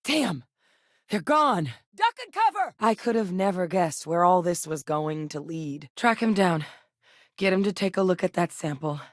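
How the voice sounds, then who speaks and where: Actress as a low-voiced, principled woman soldier-scientist. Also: no echo, studio quality, natural voice. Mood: urgent combat shouts. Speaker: video game voice actress. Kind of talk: acted video game dialogue.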